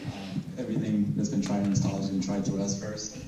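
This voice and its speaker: A man, a medical student on a panel, speaking in a relaxed, joking way. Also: lowish voice, fast talker. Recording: echoey, noisy, far from mic.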